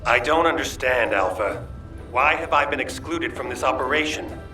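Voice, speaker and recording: A man's voice: mid-range voice, moderate pace, sharp tone, reverberant, far from the mic, soft background music, close to an everyday voice, poor audio. A man voicing a driven, insistent fighter in a video game, challenging authority with indignant force. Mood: indignant, pleading.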